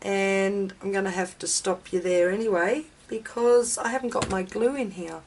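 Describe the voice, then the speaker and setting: An Australian woman with a slightly raspy voice from a chest infection, hosting a casual craft video in a rambling, self-deprecating way as she talks to herself. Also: mid-range voice, moderate pace, darkish tone, slight background noise. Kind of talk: casual chatty craft demonstration.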